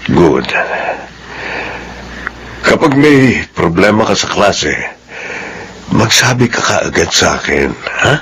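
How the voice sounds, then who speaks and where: A man's voice: low voice, sing-song, slow, pauses often, a bit bright, husky, full character voice. An actor playing a loving, remorseful middle-aged father in a drama, speaking earnestly and solemnly. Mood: gently concerned. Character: remorseful, loving father of two grown children. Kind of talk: Tagalog drama acting.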